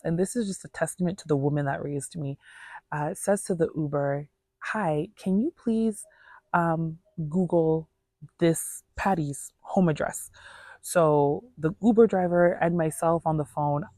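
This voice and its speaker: A woman's voice: low-pitched, unhurried, warm tone, no echo. A woman telling a personal story in a casual, earnest, conversational way, voicing reported dialogue as she goes. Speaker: storyteller vlogger.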